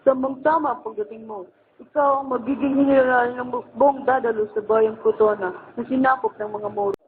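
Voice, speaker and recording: A man's voice: very high voice, animated, brisk, gravelly, exaggerated voice. A man playing a formal, commanding older king in an amateur radio drama. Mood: regal, welcoming. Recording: tinny, phone-like band-limited sound.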